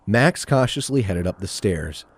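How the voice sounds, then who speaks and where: A man's voice: lowish voice, expressive, unhurried, husky. A man narrating an audio drama in a scripted storytelling style.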